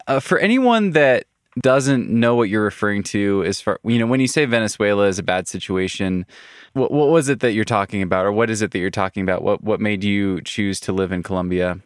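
A man's voice: lowish voice, normal speed, no echo. Guy hosting a podcast, laughs a lot, dry close sound. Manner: friendly, laughs often, asks questions with repeated restarts. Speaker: podcast host. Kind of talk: casual interview.

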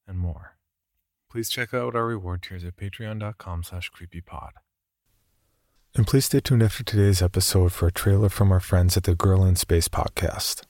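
A man's voice: lowish voice, no room sound. A man reading scripted announcements as a podcast host, close to the mic.

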